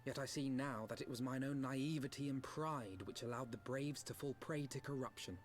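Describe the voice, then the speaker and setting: A man's voice: medium pitch, moderate pace, soft background music, close to an everyday voice. A man acting an earnest, idealistic young commander in a fantasy game, with solemn and eloquent archaic diction. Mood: regretful, resolute.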